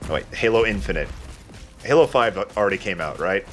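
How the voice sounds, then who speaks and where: A man's voice: fairly low pitch, animated, brisk, fairly bright tone, a little hiss or hum. A man giving casual let's play commentary, explaining things and correcting himself as he goes.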